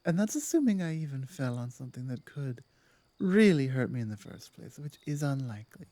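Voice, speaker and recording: A woman playing a werewolf pack leader in an intimate ASMR roleplay, speaking haltingly and deliberately, dominant but softening and earnest. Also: rumbling low, sing-song, no echo, full character voice. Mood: reassuring. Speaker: audio roleplay performer.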